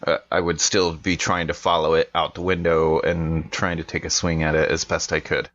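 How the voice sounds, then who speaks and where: A man's voice: extremely low pitch, flat delivery, normal speed, fairly bright tone. A man playing a tabletop game, casually improvising his character's actions, in a slightly muffled recording.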